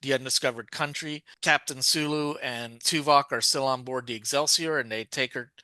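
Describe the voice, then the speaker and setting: A man co-hosting a podcast in casual discussion, often halting and correcting himself as he goes. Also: mid-range voice, fairly even intonation, bright, forceful tone, smooth, dry recording. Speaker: podcast co-host.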